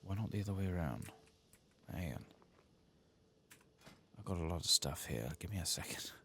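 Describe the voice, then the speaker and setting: A man softly speaking and whispering ASMR game commentary, muttering to himself as he goes. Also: low voice, animated, moderate pace, slight background noise. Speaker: host of an ASMR game playthrough.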